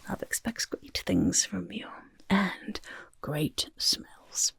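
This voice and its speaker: A goblin overlord, played by a woman, murmurs low and slow in an ASMR roleplay. The voice is husky and often drops to a whisper, full of pompous, doting, chaotic glee. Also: fairly bright tone, no echo, heavily acted. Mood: grand, encouraging. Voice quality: husky, breathy near-whisper. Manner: speaks of self in third person, grandly self-satisfied. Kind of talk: soft-spoken ASMR personal attention roleplay.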